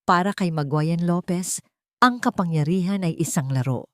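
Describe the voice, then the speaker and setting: A woman's voice: fairly low pitch, normal speed, no echo. A woman giving scripted, dramatic narration of a story.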